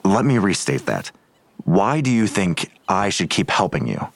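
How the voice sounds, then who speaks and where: A man's voice: sits low, big pitch swings, measured pace, vocal fry, heavily acted. A man playing a weary, grudging police detective in an audio drama, curt and sardonic in manner. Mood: curt, scornful.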